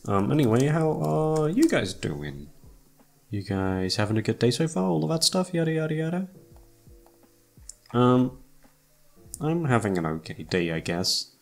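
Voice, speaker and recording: A man's voice: mid-range voice, big pitch swings, a little slow, creaky, pauses often, a little mellow. A young man chatting casually to his listeners in a rambling, gushing, self-deprecating way with plenty of swearing. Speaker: audio roleplay creator. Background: faint music.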